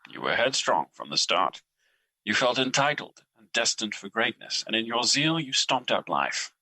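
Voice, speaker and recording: A man's voice: mid-range voice, big pitch swings, moderate pace, sharp tone, dry recording, full character voice, poor audio. A man playing Guilt as a personified emotion in an audio drama, cold and judgmental, with formal, mocking, accusing delivery. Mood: judging.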